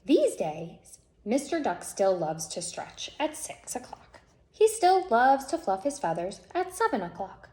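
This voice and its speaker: A woman narrates a children's story with dramatic phrasing. She takes her time and varies her pitch widely. The recording is echoey, with a little background noise. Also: sing-song, measured pace, darkish tone. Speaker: storyteller reading a picture book aloud. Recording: echoey room with faint noise.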